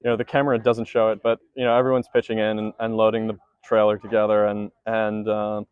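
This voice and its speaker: A man's voice: fairly low pitch, completely flat delivery, quick pace, clean tone, dry recording, phone-quality sound. A teenage male rower giving a casual post-race interview, talking loosely with many fillers and restarts. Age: teens. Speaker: junior rower.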